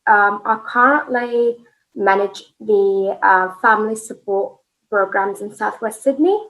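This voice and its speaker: A woman's voice: medium pitch, measured pace, a bit bright, poor audio. A woman from Iraq whose first language is Arabic, a family support manager introducing herself in a webinar, speaking with hesitant fillers.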